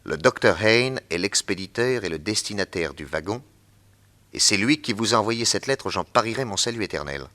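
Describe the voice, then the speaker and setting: An actor playing a shrewd, calm male detective in a radio drama, speaking in a low, measured way. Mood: calm, probing.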